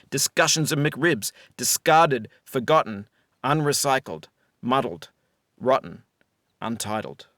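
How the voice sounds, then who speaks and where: A man giving reflective scripted narration as a game developer, in a measured, articulate, philosophical manner. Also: mid-range voice, fairly bright tone, dry recording, studio quality.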